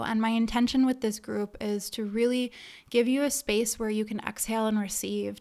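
A woman's voice: mid-range voice, a little mellow. A woman hosting a solo podcast, speaking conversationally in an earnest, encouraging, emphatic way as a coach addressing listeners. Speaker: podcast host and business coach. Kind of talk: conversational solo podcast monologue with membership pitch.

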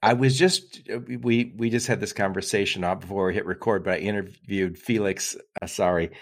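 A man's voice: deep voice, fairly even intonation, brisk. A man hosting a podcast interview, asking informal questions with a joking tone. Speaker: podcast host. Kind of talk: casual interview chat.

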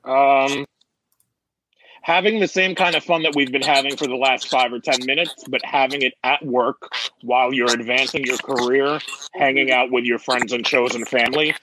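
A man's voice: medium pitch, fairly even intonation, moderate pace, noisy background. A man in his forties, a guest telling rambling, joking stories in a casual chat. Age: 40s. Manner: joking, rambling, theatrical asides. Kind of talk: casual storytelling.

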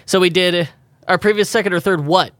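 A man's voice: high-pitched, fairly even intonation, fairly quick, fairly bright tone, dry recording. A man co-hosting a podcast in casual chat, rambling and laughing often as he corrects himself. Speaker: podcast co-host.

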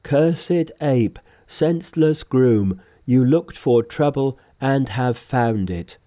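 A man's voice: mid-range voice, expressive, slow pace, dark tone. Man doing an oafish, grunting pig disciple. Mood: jeering.

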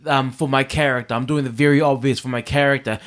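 A man co-hosting a casual review show, animated, talking fast over his partner. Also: mid-range voice. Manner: animated, talks over others, acts out quoted bits. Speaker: podcast co-host reviewing a drag competition. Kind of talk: casual chat.

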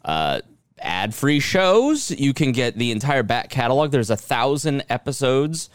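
A man's voice: medium pitch, big pitch swings, unhurried, clean tone, no echo. A middle-aged man hosting a podcast, reading promos and announcements. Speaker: podcast host.

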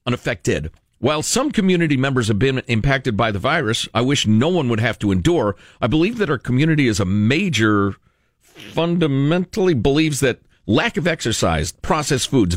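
Male American radio host, lively and varied pitch, emphatic deliberate read, close dry studio sound. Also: no room sound. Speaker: radio talk show host. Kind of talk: reading a letter aloud with conversational commentary.

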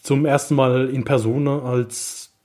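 A man co-hosting a casual film discussion podcast, speaking haltingly as he searches for his words. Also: mid-range voice, fairly even intonation, warm tone. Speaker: podcast co-host.

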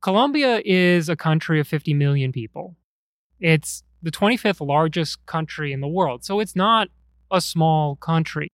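A man's voice: a little high, expressive, normal speed, no echo, clean studio sound. A man hosting a podcast in a chatty, curious way, asking his guest questions. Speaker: podcast host.

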